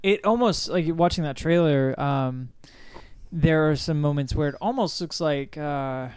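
Clear, higher-voiced man chatting casually. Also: unhurried, darkish tone, no room sound. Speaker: podcast host. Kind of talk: casual opinionated group chat.

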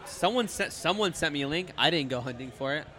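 A man's voice: a little high, brisk. A man in his twenties chatting casually as a podcast guest, rambling and swearing a lot. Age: 20s. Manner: excitable, swears often, rambling. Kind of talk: casual chat. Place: brewery.

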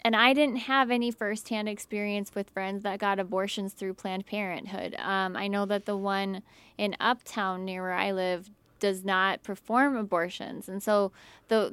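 A woman's voice: mid-range voice, a bit bright, smooth, no room sound. A woman hosting a podcast in casual, opinionated chat about politics. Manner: chatty and opinionated. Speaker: podcast host.

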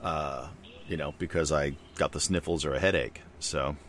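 A middle-aged man with a very low voice talks casually as a podcast co-host. He rambles, fills gaps with asides, and circles back to his point. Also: fairly even intonation, normal speed, fairly bright tone, a little hiss or hum. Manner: rambling, loose, many fillers and asides. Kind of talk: casual conversation.

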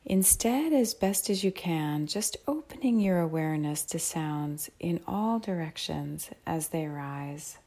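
A woman leading a guided mindfulness meditation in a soft, calm, measured manner. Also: low-pitched. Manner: soft, calm, measured instruction with long phrases and pauses.

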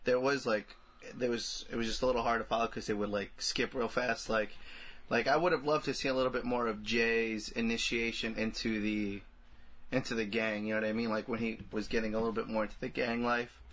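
A man co-hosting a casual film discussion, speaking in a halting, slangy way and often repeating himself. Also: mid-range voice, flat delivery, fairly bright tone. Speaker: podcast co-host.

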